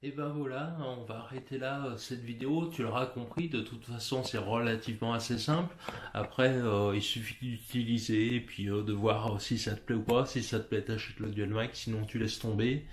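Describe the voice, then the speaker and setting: A man's voice: mid-range voice, fairly even intonation, moderate pace, a little hiss or hum. A man with a French accent casually walking the viewer through a mobile app's settings, speaking informally with frequent hesitations. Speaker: app tutorial presenter. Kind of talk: casual screen walkthrough.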